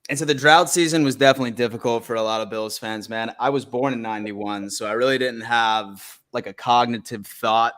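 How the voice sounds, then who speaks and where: Laid-back American sports stream host. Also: male voice, medium pitch, steady tone, a little fast, few pauses, fairly bright tone. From Buffalo, New York. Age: 30s. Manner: laid-back, says "man" often, hypes guests. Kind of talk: casual sports chat.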